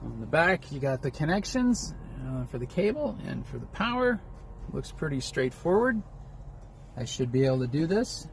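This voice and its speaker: A man's voice: on the high side, sing-song, normal speed. A man talking casually as a trucker vlogger, explaining things in a folksy, rambling, step-by-step way. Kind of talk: casual unboxing vlog chat. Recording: clear background noise, vehicle ambience.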